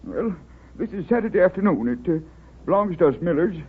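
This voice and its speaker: Husky, hesitant rural American boatman, old radio. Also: high voice, lively intonation, full character voice. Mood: hesitant, uneasy. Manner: hesitant, stammering, folksy drawn-out talk. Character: worried, humble small-town boathouse owner in debt. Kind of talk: 1930s radio acting. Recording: muffled, tinny 1930s radio recording.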